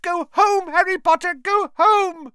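A man's voice: very high voice, steady tone, unhurried, bright, forceful tone, no echo, clean studio sound, pitched above the performer's own voice, full character voice. A man voicing an anxious, servile male house-elf who pleads and keeps calling people sir. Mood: frantic.

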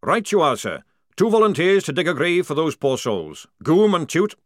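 A man voicing a gruff, bossy army sergeant who barks orders loudly. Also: on the high side, very animated, normal speed, dry recording, clean studio sound, voice pushed up. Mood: commanding. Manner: loud barking orders.

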